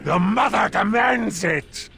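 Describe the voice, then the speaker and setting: Gravelly, shouting, menacing monster. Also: male voice, high-pitched, slow, music in the background, exaggerated voice. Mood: menacing, wrathful. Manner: declamatory, threatening. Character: menacing fanatical monster, vengeful servant of a dark mother. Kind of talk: video game voice acting.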